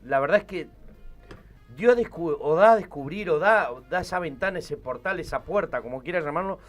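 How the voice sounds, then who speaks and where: A man's voice: a little high, expressive, brisk, faint music behind. An Argentine man hosting a casual interview, talking emphatically and piling up synonyms as he rephrases. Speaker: interviewer, show host.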